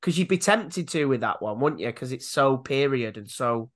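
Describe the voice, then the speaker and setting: A man's voice: medium pitch, expressive, normal speed, fairly bright tone. A man co-hosting a podcast, talking in a rambling, filler-heavy way. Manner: rambling, lots of fillers, laughs easily. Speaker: podcast co-host. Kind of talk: casual chat over video call. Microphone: webcam mic on a video call.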